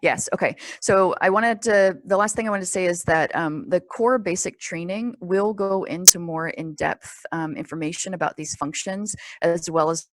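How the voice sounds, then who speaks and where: A woman presenting a training webinar in an informal, explanatory way, with a halting, pause-filled delivery. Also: lowish voice, rough recording. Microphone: conference call or webinar microphone.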